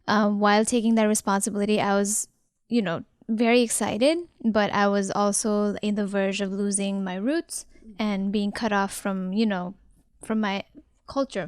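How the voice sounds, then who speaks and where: A young woman from Nepal co-hosts a casual podcast in a clear, smooth voice. She speaks in an animated, expressive way, dotted with ums. The recording is dry and close. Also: mid-range voice, no echo. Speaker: podcast co-host. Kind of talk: casual conversational podcast chat.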